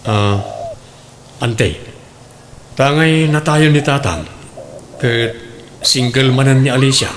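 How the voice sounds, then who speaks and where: A man's voice: mid-range voice, takes it slowly, long pauses, slight background noise, natural voice. A man with an Ilocano accent playing a frank adult son in a comedic radio drama. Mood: matter-of-fact. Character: adult son, frank and casually matter-of-fact.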